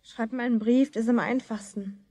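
A woman's voice: fairly high pitch, steady tone, brisk, warm tone, dry recording, lightly acted. A female performer playing a pert, tattling young sister, insistent and teasing, in a children's radio play.

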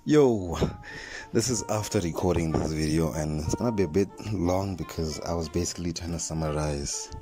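Very deep-voiced young Zimbabwean man. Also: moderate pace, dark tone. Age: young adult. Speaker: vlogger, drift fan page host. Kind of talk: casual chat to camera. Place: small plain indoor room. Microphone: phone held close to the mouth. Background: background music.

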